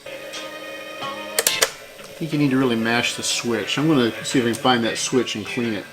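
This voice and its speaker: A man's voice: medium pitch, moderate pace, over music. A man giving a casual hands-on repair walkthrough, unscripted and thinking aloud as he works. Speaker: repair channel host.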